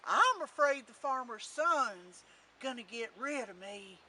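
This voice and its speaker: A woman's voice: fairly high pitch, expressive, bright, forceful tone, recorded from a distance, a little hiss or hum, heavily acted. A woman voicing a feeble, fretful old hound dog in a storybook tale, barking and dragging out mournful words. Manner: growly barks, drawn-out mournful words.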